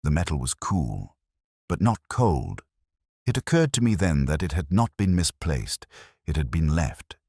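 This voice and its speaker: A man voicing a weary middle-aged Oxford detective, narrating in first person in a measured, reflective way. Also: extremely low pitch, sing-song, a little mellow, breathy edge, dry recording, clean studio sound, heavily acted. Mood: brooding. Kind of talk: scripted audio drama narration.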